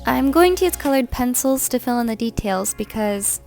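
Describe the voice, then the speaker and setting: A woman's voice: a little high, animated, normal speed, gravelly. A young woman chatting casually in a rambling voice-over about her drawing, with fillers and light laughs. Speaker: art vlogger. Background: soft background music.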